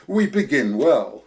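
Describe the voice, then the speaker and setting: An actor playing a smooth, dangerous fat villain who drawls and chuckles pompously in an amateur audio play. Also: male voice, a little high, a little mellow, exaggerated voice.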